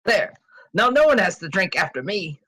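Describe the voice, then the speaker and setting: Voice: mid-range voice, sing-song, normal speed, bright, forceful tone, husky, full character voice. A performer playing a cheeky, eager athletic teenage son at a screenplay table read.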